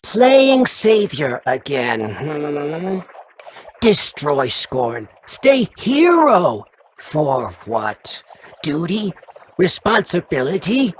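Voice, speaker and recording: The low, drawling creature that sneers in broken phrases from inside a video game relic, mocking whoever carries it. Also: female voice, very animated, slight background noise, exaggerated voice. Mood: taunting. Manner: clipped, fragmented, slow taunting phrases. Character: scornful, taunting parasitic worm creature.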